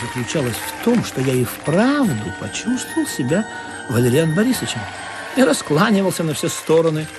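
Expressive, ironic male narrator. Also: fairly high pitch, moderate pace, over music. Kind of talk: radio play narration.

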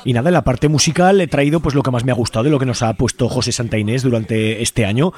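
A man's voice: mid-range voice, quick pace. A man with a Spain Spanish accent hosting a community radio show in a casual, informal, joking way. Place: busy radio studio. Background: faint music.

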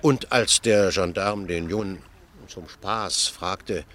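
An actor playing a wry, gossipy ship passenger in a radio play, telling tales in with a sardonic manner. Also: male voice, sits low, takes it slowly. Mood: amused storytelling. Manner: sardonic, chuckling, animated storytelling. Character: wry, worldly, gossipy male ship passenger, mocking raconteur. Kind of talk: German radio play dialogue.

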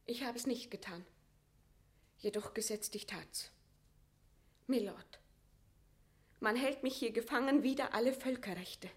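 A woman's voice: on the high side, a little slow, pauses often, lightly acted. An actress playing a proud captive Scottish queen in a classical radio drama, declaiming formal verse with eloquent, impassioned defiance. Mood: wounded pride. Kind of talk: classical German stage verse radio acting.

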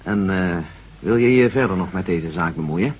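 An actor playing a gruff, jovial army general in a Dutch radio drama, talking in a bluff, hearty, colloquial way with a husky voice. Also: male voice, deep voice, normal speed. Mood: businesslike, friendly. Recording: dull, muffled old radio recording.

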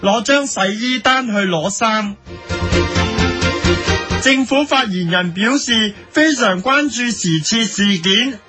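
A man's voice: sits high, lively intonation, slow pace. A man with a Hong Kong Cantonese accent giving mock-serious editorial commentary as a host, in a theatrical, mock-earnest manner. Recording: faint music bed under voice. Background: music.

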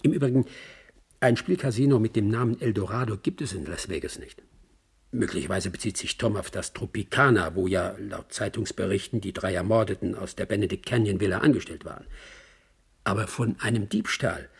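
Worldly male witness, radio drama monologue: very husky, low, creaky, dryly gossipy. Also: a little fast, heavily acted. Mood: wry, reminiscing. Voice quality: husky, gravelly. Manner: reminiscing, dryly ironic. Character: worldly male acquaintance giving wry, gossipy recollections.

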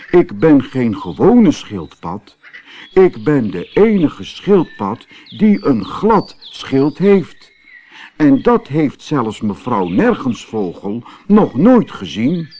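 A man voicing a greedy, boastful, bossy male tortoise in a storybook, with emphatic, drawn-out pleading. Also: mid-range voice, dark tone. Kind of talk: storybook character voice.

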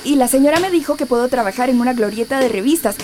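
A woman playing a naive, earnest teenage girl in a radio drama, expressive and with a Central American accent. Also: high-pitched, fast, a little hiss or hum, close to an everyday voice. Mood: eager, coaxing.

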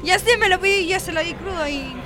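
A woman's voice: very high-pitched, fast talker. A woman playing a defiant waiter who answers back in an improvised comedy sketch. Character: defiant, cheeky restaurant waiter arguing with royal customers. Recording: music and crowd noise behind.

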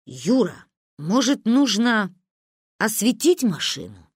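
A woman's voice: mid-range voice, very animated, a little slow, no room sound, clean studio sound. A woman voicing a hapless, well-meaning uncle in an audiobook, protesting and apologetic. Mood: hesitant. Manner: protesting, conciliatory.

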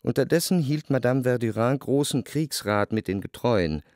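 A man narrating a literary radio play in the first person, measured and composed, in a dry studio. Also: medium pitch, expressive, darkish tone, no room sound, lightly acted. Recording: dry close studio sound.

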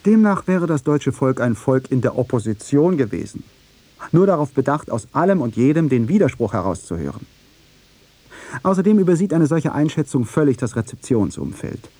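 A man's voice: medium pitch, big pitch swings, moderate pace, warm tone, no echo. A man reading a scripted radio documentary in a sober, precise manner. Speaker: radio feature narrator.

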